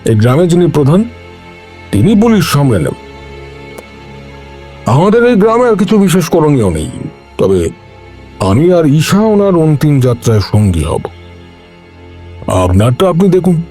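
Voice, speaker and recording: Man acting a mellow, dramatic, grave father. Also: a little high, very animated, measured pace, pauses often, faint music behind. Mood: grave, firm. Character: grave, protective father caught in occult horror. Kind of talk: Bengali horror audio drama acting.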